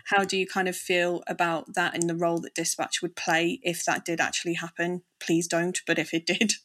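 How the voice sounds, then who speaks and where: A woman's voice: fairly low pitch, monotone, a little fast, fairly bright tone. A woman hosting a casual podcast interview in an informal, chatty way.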